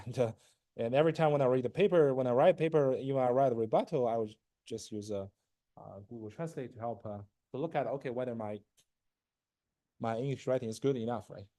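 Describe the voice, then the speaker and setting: Halting lecturer, mellow voice, native Chinese speaker. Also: male voice, medium pitch, no echo. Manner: halting, many fillers and restarts, asks "right?" often; frequent throat clearing. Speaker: university lecturer. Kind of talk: classroom lecture.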